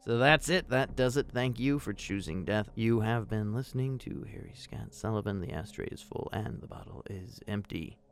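Low, gravelly male podcast host. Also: normal speed, few pauses, faint music behind. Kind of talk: casual podcast announcements and sign-off.

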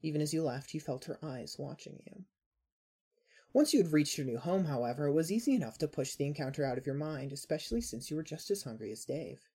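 Fiction podcast narrator: mellow, mid-pitched, a little husky, scripted storytelling read. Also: lively intonation, a little fast.

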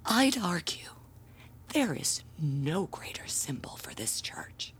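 An actress playing a proud, pompous handbell ringer, telling family lore in grandiose audio drama style. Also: female voice, low-pitched, very animated, takes it slowly, in a whisper, heavily acted. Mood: solemn pride. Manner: grandiose, theatrical storytelling.

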